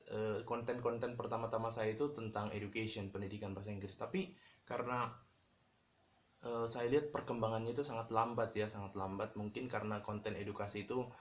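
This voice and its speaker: Guy from medan vlogging at home, mid pitch, kinda halting, faint noise behind. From Medan, Indonesia. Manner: relaxed, halting, many fillers and restarts. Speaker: YouTuber. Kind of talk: casual tips vlog.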